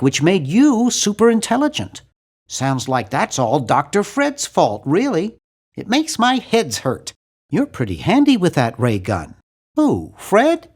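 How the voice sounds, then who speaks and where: An American man voicing a nerdy, nervous young man in a cartoon adventure game, earnest and fussy, with a nasal, awkward, excitable delivery. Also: sits high, unhurried, heavily acted. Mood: argumentative.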